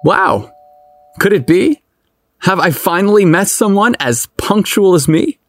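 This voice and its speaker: A man's voice: high voice, expressive, unhurried, no room sound, voice pushed up, heavily acted. A man voicing an awkward, flirtatious man on a date in an audio story, hesitant and teasing. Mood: pleasantly surprised.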